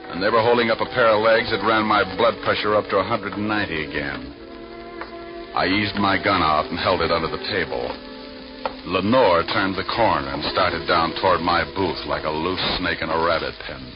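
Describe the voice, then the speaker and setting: A male actor plays a wisecracking private eye with a deep, deadpan delivery. He narrates like classic 1940s radio noir. The sound is a dulled old broadcast with music underneath. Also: highs cut off, close to an everyday voice. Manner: wry, deadpan. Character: wisecracking hard-boiled male private detective.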